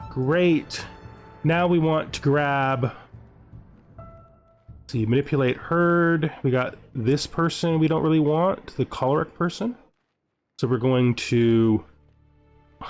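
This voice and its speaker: Male gamer, average pitch, slightly slow delivery, casual think-aloud playthrough commentary with faint music underneath. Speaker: gamer narrating his own playthrough.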